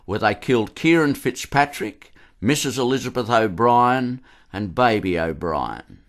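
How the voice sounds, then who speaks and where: Aussie guy narrating a history piece for radio, measured, medium pitch. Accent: Australian.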